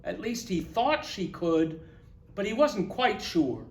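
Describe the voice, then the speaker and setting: A man reading a children's picture book aloud to young listeners in an expressive, emphatic storyteller's manner. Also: sits high, takes it slowly, roomy sound. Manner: expressive, emphatic, explains words in asides.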